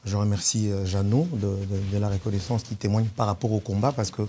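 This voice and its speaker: A man's voice: on the low side, flat delivery, a little fast, warm tone, a little hiss or hum. A man of Congolese origin, a political candidate, arguing his case in a debate, insistent and emphatic, often repeating words. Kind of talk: debate on a web TV show.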